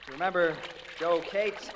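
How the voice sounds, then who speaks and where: An uneasy young American confiding in a pal in a forties radio play. The voice sits fairly high, in long reverb, on a noisy old recording. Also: male voice, normal speed, a bit bright. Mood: worried. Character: uneasy young man confiding his fears to a friend. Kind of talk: 1940s American radio drama acting.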